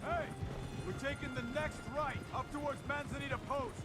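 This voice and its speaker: An actor playing a calm frontiersman giving brief directions in a video game cutscene. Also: very high-pitched, moderate pace, over loud music.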